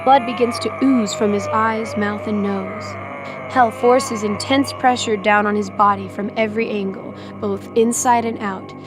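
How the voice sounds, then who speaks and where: A woman's voice: medium pitch, fairly even intonation, unhurried, over music. A woman reading scripted dramatic narration as the narrator of an audio drama.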